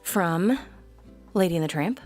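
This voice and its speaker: A woman hosting a live singing stream, chatting casually with viewers between songs in quick, rambling bursts full of ums and likes. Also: fairly low pitch, animated, darkish tone, faint music behind. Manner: reads out viewer comments and answers them. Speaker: livestream singer and host.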